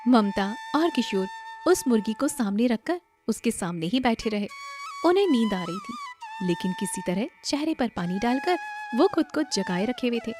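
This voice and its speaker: A woman's voice: fairly high pitch, wildly swooping pitch, moderate pace, music in the background. A woman narrating a scripted children's story.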